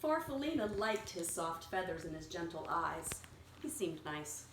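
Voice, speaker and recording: A woman reading a picture story aloud to a young child, pausing to explain words simply and prompt repetition. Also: fairly low pitch, sing-song. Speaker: children's story reader and teacher. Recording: echoey room with clear background noise.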